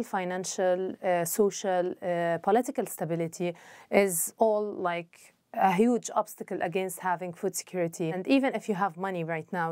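Brief: woman in her 30s, mid pitch, earnest interviewee, dry studio sound. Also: darkish tone, no echo. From Palestine. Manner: earnest, fluent, many "like" fillers. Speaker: nutrition researcher. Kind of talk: interview answers to camera. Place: indoor studio, plain backdrop.

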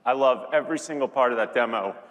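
A man's voice: mid-range voice, fast, background noise. Middle-aged man on a reverberant keynote stage. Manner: repeats words and phrases for emphasis. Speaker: chief executive co-presenting a keynote. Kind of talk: conversational keynote presentation. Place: large conference stage with audience. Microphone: lapel mic. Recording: reverberant large hall sound.

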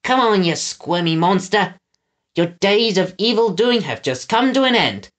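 A man's voice: high voice, expressive, unhurried, fairly bright tone, pitched above the performer's own voice. A man voicing a dim, cheerful henchman in a storybook, rambling in folksy, ungrammatical chatter. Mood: gloating.

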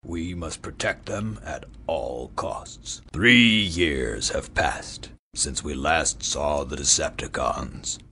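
An actor playing a noble giant robot leader in a video game, with a gravelly, husky voice and a solemn, authoritative, deliberate delivery. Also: male voice, a bass voice, lively intonation, pushed and bright, a little hiss or hum, heavily acted. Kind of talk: video game character acting.

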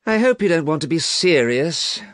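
Storybook role: a bored, vain young man voiced by a male reader, pitched up, sharp-tempered, dry close sound. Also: very animated, moderate pace, dry recording. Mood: petulant. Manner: petulant, hard and cruel. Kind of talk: audiobook character reading.

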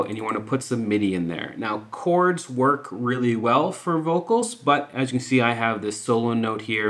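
A man hosting a music software tutorial, explaining informally and thinking aloud as he goes. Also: lowish voice. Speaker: music production tutorial host.

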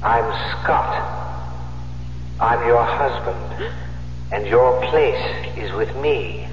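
An actor playing a calm, taunting dead husband on the phone, slow, deliberate and mocking, in a 1970s radio drama. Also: male voice, medium pitch, a little hiss or hum. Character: dead husband phoning his wife, calm, taunting, sinister. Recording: muffled reverberant phone voice, humming old radio.

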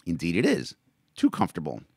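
A man's voice: low voice, wildly swooping pitch, brisk, breathy edge, dry recording, studio quality, full character voice. A man voicing a tender, motherly widow who soothes a child in a raised, coaxing voice. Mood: soothing. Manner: soft, coaxing, lifted voice.

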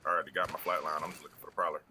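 A man's voice: extremely low pitch, rapid-fire, a little hiss or hum. A man hosting a stream, chatting casually in slangy banter with a friend while gaming. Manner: relaxed slangy banter, talks over his friend. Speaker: streamer host. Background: game music and sounds.

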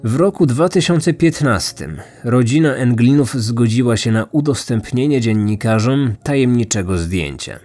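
A man's voice: medium pitch, expressive, moderate pace, hardly any pauses, darkish tone. A man hosting a podcast with scripted true-crime narration. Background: faint music.

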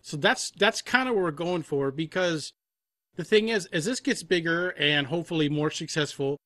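Higher-pitched man chatting as guest. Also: normal speed, fairly bright tone, no room sound, clean studio sound. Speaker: audio drama producer, podcast guest. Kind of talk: casual interview chat.

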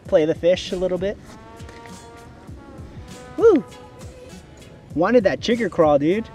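Excited man fishing by a river. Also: sits high, slow, long pauses, faint music behind. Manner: excited, narrates gear while fishing, whoops and laughs. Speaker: fishing vlogger. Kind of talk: casual outdoor fishing vlog. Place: outdoors on rocks by a river. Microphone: head-mounted action camera.

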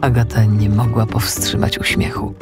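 A man narrating a children's audiobook in an expressive, dramatic storytelling manner. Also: fairly low pitch, moderate pace, music in the background.

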